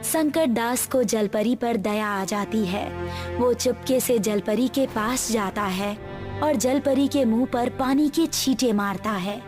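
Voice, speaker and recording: Woman, scripted story narration, high voice, slow pace, music underneath.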